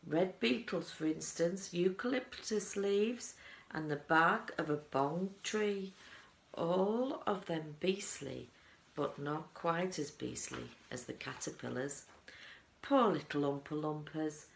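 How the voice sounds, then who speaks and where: Brief: eccentric storybook chocolatier, played by a woman, proud, emphatic, unhurried, in a roomy space. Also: sits low, gravelly, exaggerated voice. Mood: storytelling. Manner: proud, emphatic, relishing the tale.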